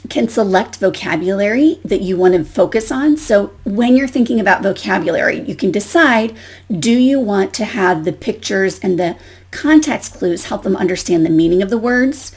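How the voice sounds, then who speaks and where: A woman's voice: mid-range voice, expressive, moderate pace, darkish tone. A woman giving an instructional demonstration as a teacher educator, explaining and modelling questions as if to young pupils.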